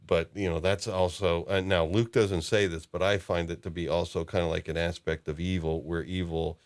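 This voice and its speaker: A man hosting a casual fan discussion about lore, talking haltingly as he thinks aloud. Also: low-pitched, flat delivery. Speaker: podcast host.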